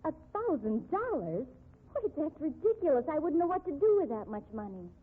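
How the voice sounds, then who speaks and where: A woman's voice: high voice, very animated, normal speed. An actress playing an honest young female shop clerk, wrongly accused and indignant, in 1950s radio drama style. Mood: shocked, indignant. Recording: muffled, dull 1950s radio recording.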